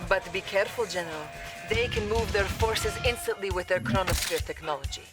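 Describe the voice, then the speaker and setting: Fields. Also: female voice, medium pitch, a little fast, music in the background, lightly acted. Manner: urgent, formal briefing. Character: loyal, earnest female Soviet officer. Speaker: Soviet military officer.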